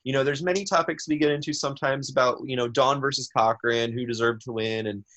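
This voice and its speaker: A man's voice: mid-range voice, steady tone, fast talker, fairly bright tone. A man with a nasal voice co-hosting a casual chat show in an easygoing, self-deprecating way. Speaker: podcast co-host.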